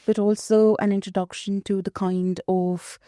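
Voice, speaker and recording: A woman from India, a guest researcher, talking thoughtfully in a podcast conversation with many pauses and ums. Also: medium pitch, flat delivery, warm tone, no room sound, clean studio sound. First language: not English.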